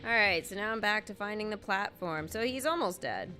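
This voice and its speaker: A woman's voice: mid-range voice, animated, fairly quick, bright, forceful tone. A woman chatting casually to viewers as she gives play-by-play commentary on a game, with informal exclamatory asides. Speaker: live game streamer. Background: video game music.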